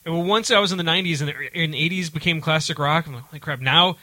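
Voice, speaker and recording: A middle-aged man hosting a talk show with casual, comedic banter and an animated, exclamatory way of talking. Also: fairly high pitch, quick pace, dry recording.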